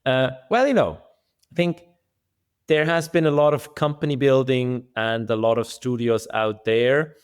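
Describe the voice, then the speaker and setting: A middle-aged man from Switzerland, a venture studio co-founder, talking through his answers in a thoughtful, measured way with some restarts. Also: medium pitch, clear, resonant tone. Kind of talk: conversational podcast interview.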